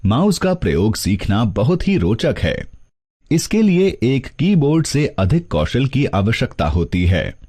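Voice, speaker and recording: A man reading scripted instructional narration, explaining things step by step in a measured teaching manner. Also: medium pitch, a little mellow, breathy edge. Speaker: narrator of a computer course.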